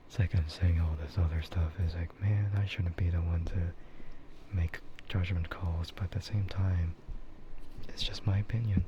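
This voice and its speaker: Male ASMR streamer, soft whispery delivery, unhurried and rambling, muffled recording with clear background noise. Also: low-pitched, fairly even intonation. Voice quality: breathy, soft, mostly whispered. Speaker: streamer, VTuber. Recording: dull, muffled audio with loud ambient noise.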